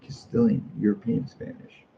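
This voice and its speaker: A middle-aged American man in a casual, rambling monologue, speaking in a folksy, opinionated way and repeating phrases for emphasis. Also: moderate pace. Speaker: street artist. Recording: muffled lo-fi audio with faint noise.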